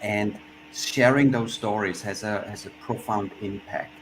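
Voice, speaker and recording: A man from Switzerland speaking as a panelist in an online discussion, reflective and pausing often as he restarts his sentences. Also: fairly low pitch. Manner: reflective, with frequent pauses and restarts. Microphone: video call. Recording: noisy video-call audio.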